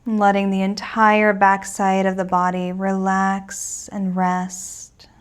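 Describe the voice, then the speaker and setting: A woman teaching a guided meditation in a gentle, soothing and reassuring manner. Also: mid-range voice, monotone, slow, clear, resonant tone.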